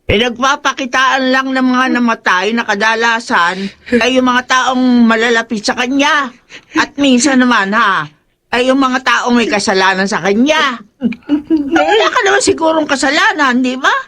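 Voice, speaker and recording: A woman's voice: sits high, normal speed, pushed and bright. A woman playing a scolding, skeptical mother in a horror audio drama, nagging and questioning. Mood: dismissive, chiding.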